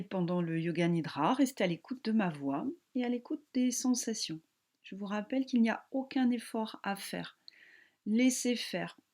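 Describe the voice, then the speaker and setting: A woman yoga teacher guiding a relaxation meditation in a calm, gentle, soothing manner with long pauses. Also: medium pitch.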